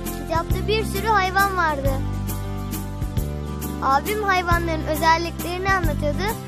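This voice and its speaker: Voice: very high-pitched, normal speed, loud music behind the voice. A performer voicing a curious, affectionate little boy in a children's cartoon, sweet and eager in manner. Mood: storytelling. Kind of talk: children's cartoon dialogue and first-person narration.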